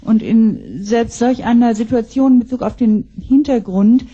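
A woman's voice: on the high side, moderate pace, warm tone, husky, highs cut off. A woman interpreting a speaker line by line, with occasional hesitations. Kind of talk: consecutive translation into German.

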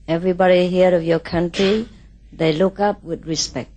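A woman from Vietnam giving a spiritual talk in short, simple English phrases, pausing so an interpreter can translate. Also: fairly low pitch, fairly even intonation, mellow. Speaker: spiritual teacher.

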